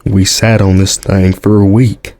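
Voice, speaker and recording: An actor playing a weary, guilt-ridden American president in an audio drama, grave and resolute, with an American accent. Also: male voice, low-pitched, flat delivery, measured pace, dark tone. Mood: regretful, bitter.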